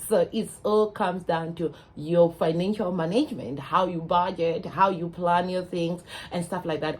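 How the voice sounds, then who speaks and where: A Zimbabwean woman in her thirties talks casually to her viewers, giving earnest advice and filling pauses with plenty of ums and uhs. Her voice sits a little low for a woman. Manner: earnest, advising, with frequent fillers. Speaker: English teacher and vlogger. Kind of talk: casual talk to camera.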